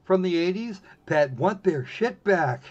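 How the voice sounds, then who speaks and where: A man's voice: high-pitched, expressive, measured pace. A man giving casual, snarky live commentary as he plays a visual novel, exclaiming and reading bits aloud. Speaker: streamer playing a visual novel. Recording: dull lo-fi microphone sound.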